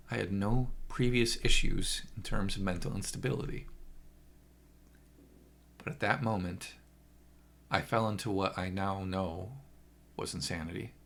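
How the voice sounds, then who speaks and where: A man's voice: sits low, creaky, a bit bright. A man narrating a first-person horror story with an intense, theatrical delivery.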